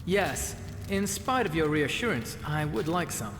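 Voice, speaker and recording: A man's voice: a little high, animated, a little slow. A man playing a bold, sardonic young swordsman hero in a video game, speaking in a formal, earnest way. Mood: dry, resolute. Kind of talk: video game character acting. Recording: echoey reverberant sound, ambient noise.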